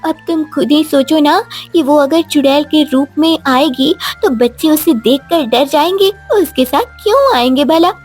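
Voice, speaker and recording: A small, skeptical cartoon creature, voiced by a woman. The voice is high and insistent, with faint music underneath. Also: a little slow, few pauses. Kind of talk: Hindi animated children's story acting.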